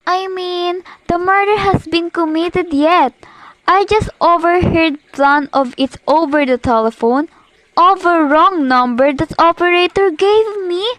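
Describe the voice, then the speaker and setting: Young woman acting high-pitched, imperious, agitated lady. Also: unhurried, a bit bright, dry recording, rough recording. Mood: agitated, pleading. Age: teenager to young adult. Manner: demanding, agitated, impatient, haughty. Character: imperious, nervous, indignant married woman reporting an overheard murder plot. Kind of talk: amateur student radio play acting.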